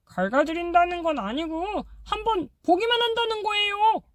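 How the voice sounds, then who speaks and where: A woman's voice: very high voice, normal speed, no room sound, studio quality. A woman voicing a polite, wary little termite who speaks bluntly but kindly in a storybook audiobook. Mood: earnest. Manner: polite, hesitant, bluntly practical. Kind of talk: audiobook character voice.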